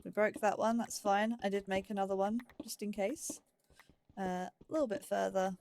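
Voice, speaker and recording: A woman's voice: mid-range voice, steady tone, moderate pace, a little mellow, a little hiss or hum. A woman narrating her gameplay in a casual, chatty way, thinking aloud as she goes. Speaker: let's play gamer.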